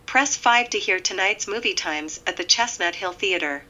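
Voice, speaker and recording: A woman's voice: mid-range voice, moderate pace, highs cut off, background noise, lightly acted. A woman playing an automated telemarketing phone menu, reciting options evenly. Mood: impersonal, scripted.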